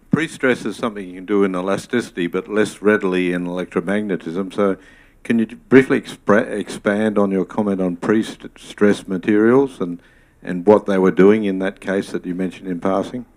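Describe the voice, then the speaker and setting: Deep-voiced man asking a question. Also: steady tone, darkish tone. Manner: measured, precise questioner. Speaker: audience member. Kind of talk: question from the floor.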